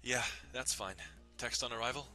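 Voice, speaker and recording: A man's voice: medium pitch, fairly even intonation, normal speed, sharp tone, soft background music. A man playing an amused, dutiful young pastry shop worker in an understated, easygoing way, in a visual novel reading. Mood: casual.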